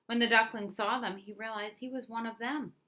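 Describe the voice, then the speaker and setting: A woman reading a children's storybook aloud in an expressive, emphatic way. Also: on the high side, brisk. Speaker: storybook reader.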